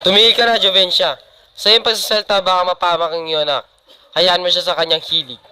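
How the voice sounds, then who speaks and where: A man's voice: high voice, fairly even intonation, fast talker, pushed and bright, clear, resonant tone. A man playing an indulgent, protective middle-aged father in an amateur radio drama, with a Filipino accent. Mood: defensive, scolding. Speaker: student radio drama actor.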